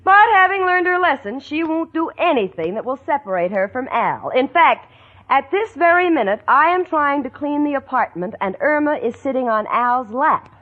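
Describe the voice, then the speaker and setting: Wry, exasperated young American roommate for radio sitcom; very animated delivery, tinny vintage sound. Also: female voice, high voice, moderate pace. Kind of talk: 1940s radio comedy acting. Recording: tinny narrowband 1940s radio recording.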